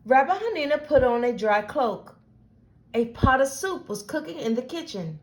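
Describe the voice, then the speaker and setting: A woman reading a picture book aloud to children as host, with deliberate, dramatic phrasing. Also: sits high, sing-song.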